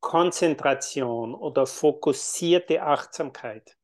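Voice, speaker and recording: A man who teaches meditation and yoga, answering interview questions in a calm, thoughtful way with frequent fillers. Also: on the high side, takes it slowly. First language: German.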